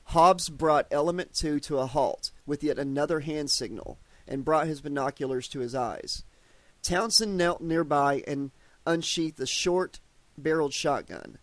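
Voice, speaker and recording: A male audiobook narrator who reads with a steady storytelling pace. His voice sits a little high for a man, and the recording is dry and close with no room in it. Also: no echo. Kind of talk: scripted narration.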